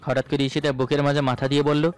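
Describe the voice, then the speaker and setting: A man with a fairly high voice narrates a romantic story in the first person. It is a scripted read, with music playing behind him. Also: little pitch movement, quick pace. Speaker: narrator of romantic audio stories. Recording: voice over background music.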